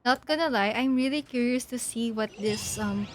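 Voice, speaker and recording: A woman streaming a game with casual, giggly, halting commentary that often repeats words. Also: fairly high pitch, faint music behind.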